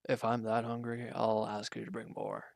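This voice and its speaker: Guy acting out a worn out hurt villain, low gravelly voice, hesitant n grudging, kinda guarded, super dry close audio drama sound. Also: monotone, moderate pace, no room sound. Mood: grudgingly caring. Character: injured, weary male villain, guarded but quietly considerate. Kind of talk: roleplay audio drama, intimate one-sided dialogue.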